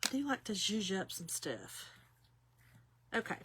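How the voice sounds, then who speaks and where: A woman's voice: medium pitch, very animated, a little slow, background noise. A woman in her fifties giving a chatty, folksy crafting tutorial, often talking to viewers as y'all. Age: 50s. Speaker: craft demonstrator.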